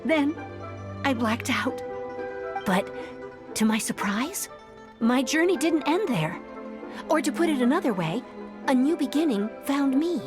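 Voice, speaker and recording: A woman playing a gentle young exile in a video game, speaking in an earnest, measured storytelling way. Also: high voice, animated. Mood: somber, reflective. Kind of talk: video game dialogue acting. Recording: game music behind the voice. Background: background music.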